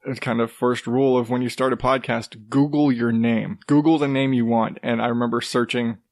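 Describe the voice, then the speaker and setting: A man hosting his own podcast, rambling casually with restarts and the odd swear, in a rather deep voice while coming down with a cold. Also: fairly even intonation, brisk, no echo. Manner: rambling, loose, with swearing and self-interrupting restarts. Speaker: podcast host. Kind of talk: casual solo podcast talk.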